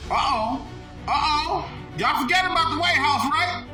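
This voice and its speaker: A man hosting a short online video, giving emphatic, repetitive commentary that keeps bursting into shouts. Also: extremely high pitch, unhurried, over music. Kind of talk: animated social media commentary.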